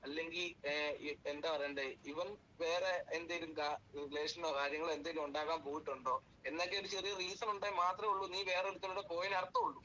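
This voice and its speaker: A man's voice: fairly high pitch, flat delivery, quick pace, sharp tone. A man with a Kerala Malayalam accent in a heated phone conversation, questioning insistently, scolding and repeating himself. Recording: dull lo-fi phone call, faint noise.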